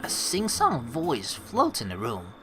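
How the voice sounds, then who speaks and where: A woman's voice: very deep voice, huge pitch swings, takes it slowly, rough recording. A woman hosting a game playthrough, reading text aloud and commenting in a rambling, casually sweary way. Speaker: let's play host. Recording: game music behind the voice.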